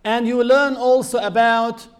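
Guy with a high-ish voice giving a sermon, slow and earnest, lots of pauses. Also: flat delivery. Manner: measured, earnest teaching with frequent pauses. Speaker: Islamic preacher.

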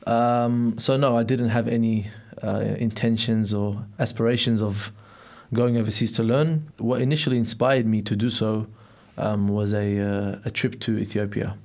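A man's voice: on the low side, steady tone, moderate pace, creaky, a little mellow. A young man from Melbourne, answering interview questions in a reflective, hesitant way in a studio. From Melbourne, Australia. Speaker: interview guest.

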